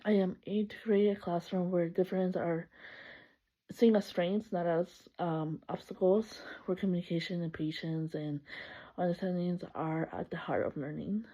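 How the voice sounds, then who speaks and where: Upbeat young woman, warm muffled voice. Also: fairly low pitch, steady tone, moderate pace. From El Salvador. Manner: upbeat and chatty, laughs often, many fillers and self-corrections. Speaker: student introducing herself. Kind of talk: class introduction video. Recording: slightly muffled lo-fi recording.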